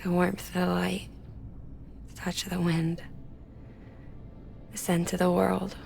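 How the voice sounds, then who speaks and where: Game casting: a frail, gentle woman, lowish pitch, heavy vocal fry, soft measured delivery. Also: completely flat delivery, a little hiss or hum, heavily acted. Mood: weary, wistful. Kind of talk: cinematic video game acting.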